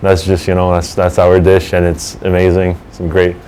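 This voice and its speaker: Young Canadian-raised male athlete, deep and even delivery, casual interview. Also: normal speed, clean tone. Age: 20s. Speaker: student soccer player.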